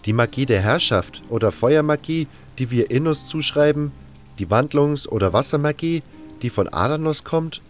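A man's voice: fairly high pitch, animated, normal speed, soft background music, pitched above the performer's own voice, close to an everyday voice. A man voicing an eager, bookish young fire mage who lectures earnestly in a wordy way, in a fantasy audio drama. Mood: eager lecturing.

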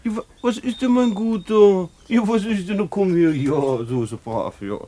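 A man's voice: high voice, moderate pace, mellow. An actor playing a middle-aged schoolteacher husband in a radio play, ironic and patronizingly patient with his wife. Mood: weary, fond.

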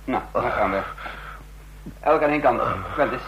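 An actor in an old radio play playing a stern, shrewd intelligence chief, with husky, authoritative, clipped delivery. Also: male voice, medium pitch, normal speed, a bit bright, slight background noise, natural voice, low-quality recording. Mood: brisk. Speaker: narrator and lead actor in a radio drama. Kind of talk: vintage Dutch radio play acting.

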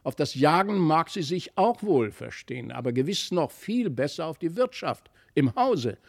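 A man's voice: fairly high pitch, very animated, moderate pace, creaky, dry recording, studio quality, heavily acted. A man voicing an earnest, timid little girl in a storybook, eagerly protesting. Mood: indignant. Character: little girl Christlieb, earnest, timid, sweetly indignant child.

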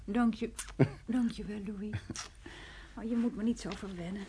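A woman playing an affectionate, wonderstruck lover in a Dutch radio play, with a breathy voice and a tender, exclaiming manner. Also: medium pitch, moderate pace, warm tone, slight background noise, natural voice. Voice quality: very breathy, husky.

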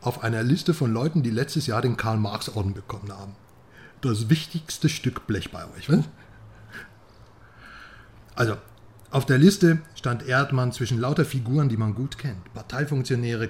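A man's voice: medium pitch, moderate pace, natural voice. A man playing a cocky, mocking journalist. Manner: teasing, sarcastic, jumps between loud and quiet. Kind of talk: radio drama acting.

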